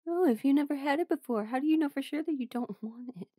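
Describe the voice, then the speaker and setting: A woman's voice: high voice, fast, mellow, no room sound, clean studio sound, lightly acted. A woman acting in an audio roleplay as a defensive, emotional musician rejecting her bandmate's love. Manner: emotional, exasperated, swearing. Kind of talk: audio roleplay drama monologue.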